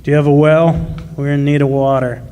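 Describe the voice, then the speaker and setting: A man's voice: fairly high pitch, level tone, fairly quick, dark tone, smooth, close to an everyday voice. A man acting a fair-minded, plain-spoken father, a miner, in a radio drama, speaking patiently and reassuringly. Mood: calm, polite.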